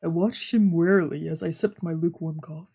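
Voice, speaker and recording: A woman's voice: lowish voice, steady tone, a little fast, warm tone, breathy edge. A woman streamer reading scripted visual novel narration aloud.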